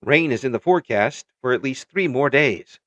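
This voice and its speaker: A man playing a radio network news anchor, reading a scripted newscast in a formal, measured announcer's delivery. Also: medium pitch, animated, fairly bright tone, lo-fi sound, close to an everyday voice.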